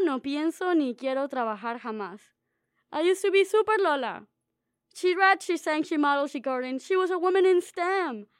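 A young woman with a very high, light voice reads her own personal essay aloud. Her delivery is wry and dreamy, and she pokes fun at herself. The recording is dry and close, with no room sound. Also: no room sound. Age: young adult. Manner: wry, dreamy, playfully self-mocking. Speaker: student author reading her own essay.

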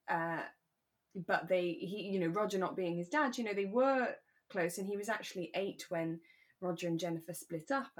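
A British woman co-hosting a podcast in a relaxed, chatty and reflective discussion of a radio drama. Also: on the low side. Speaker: podcast co-host.

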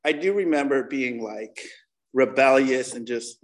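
A man's voice: mid-range voice, measured pace. An American man in his forties speaks to a recovery meeting in a mid-range voice. He is candid and conversational, using casual slang as he gives an informal account of himself. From Connecticut, United States. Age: 40s. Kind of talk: informal personal testimony.

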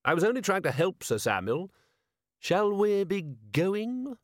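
A man voicing an unruffled aristocratic ruler, polite and measured, with dry mischief and feigned innocence, in an audiobook. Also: high-pitched, very animated, dry recording, voice pushed up.

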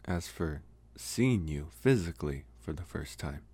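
A man playing a lonely, gentle young college vampire in an intimate ASMR roleplay, speaking softly and haltingly with pauses. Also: extremely low pitch, lively intonation, husky, no room sound, exaggerated voice. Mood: reflective, hesitant. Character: lonely, soft-spoken young male college vampire, politely devoted. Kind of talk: ASMR roleplay, intimate one-sided dialogue.

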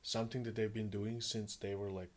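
A man's voice: fairly low pitch, little pitch movement, a little fast, a little mellow. Laid-back man, casual swearing. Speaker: podcast co-host. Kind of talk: casual conversation.

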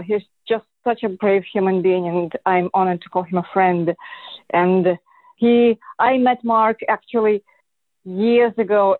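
A woman's voice: mid-range voice, dry recording. A woman from Russia, a podcast guest, speaking effusively and earnestly over a remote call. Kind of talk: podcast interview. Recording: tinny phone-like line, dry.

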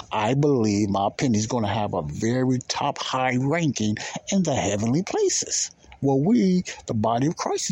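A man's voice: mid-range voice, dark tone, slightly rough. A man giving informal, emphatic Bible teaching as a podcast host, repeating key phrases and posing rhetorical questions.

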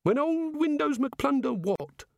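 A man's voice: very high-pitched, sing-song, takes it slowly, gravelly, no room sound, pitched above the performer's own voice, heavily acted. An oblivious male creator god, chatty and endlessly inquisitive, as a man puts him on for a storybook reading. His voice sits well above a normal man's and swoops eagerly from note to note. The recording is close and dry. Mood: eager curious.

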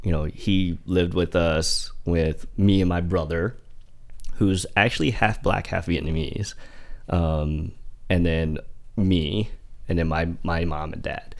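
A man's voice: sits low, steady tone, slow pace, gravelly. A man in his forties from Indiana, talking casually as a guest, rambling with many fillers and restarts. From Indiana, USA. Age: 40s. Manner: rambling, heavy use of "like" and restarts. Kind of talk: casual conversational interview.

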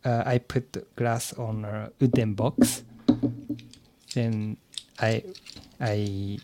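Slow-speaking Japanese man, close dry sound. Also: lowish voice, steady tone, no echo. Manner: hesitant, with frequent soft hums of agreement. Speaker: podcast guest, English learner. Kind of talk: casual interview answers.

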